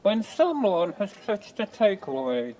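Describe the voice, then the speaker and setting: A man playing an earnest, unflappable cookery instructor in an audio comedy, deliberate and deadpan in his absurd instructions. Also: high voice, exaggerated voice, low-quality recording. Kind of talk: parody cookery demonstration.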